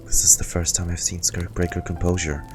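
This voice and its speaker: A man's voice: sits low, level tone, a little fast. A man streaming a game, reading dialogue aloud and reacting to it as he goes. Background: game music.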